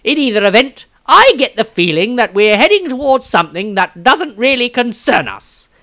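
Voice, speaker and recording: A man's voice: very high-pitched, very animated, normal speed, pushed and bright, voice pushed up, heavily acted. A man voicing a fussy, homesick, reluctant tinkerer, reading a diary entry wistfully. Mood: weary, wistful.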